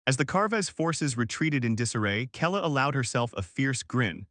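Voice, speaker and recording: A man reading a scripted science fiction war story as its narrator, in a measured, formal storytelling manner. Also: medium pitch, animated, fairly bright tone, no room sound, studio quality.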